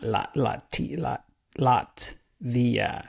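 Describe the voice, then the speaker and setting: A man's voice: mid-range voice, very slow, creaky, breathy edge. A man co-hosting a podcast about fatherhood, chatting in a playful, joking way. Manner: playful, jokey. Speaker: podcast co-host. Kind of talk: casual podcast chat.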